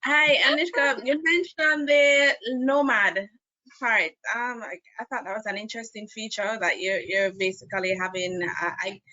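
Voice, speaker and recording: Woman, bright voice, medium pitch, conversational questioner, muffled call audio. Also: steady tone, normal speed, no echo. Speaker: meeting participant asking a question. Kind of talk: informal online meeting question. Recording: dull, close online-call audio.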